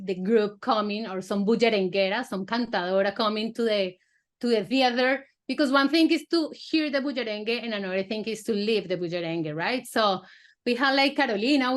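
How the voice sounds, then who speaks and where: Spanish-native woman filmmaker, searching for words. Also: on the high side. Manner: searching for words, many fillers and restarts. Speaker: filmmaker guest. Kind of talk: casual interview answers.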